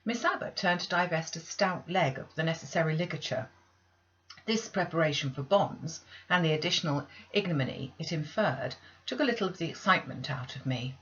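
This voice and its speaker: A woman's voice: low-pitched, expressive, a little fast, fairly bright tone, slightly rough, muffled recording. A woman reading a classic novel aloud as scripted literary audiobook narration. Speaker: audiobook reader.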